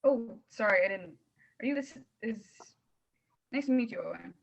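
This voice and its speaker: A woman playing a shy, guarded teenage girl in a quiet, halting way at a script table read. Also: fairly high pitch, animated, vocal fry, muffled recording. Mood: awkward, hurt.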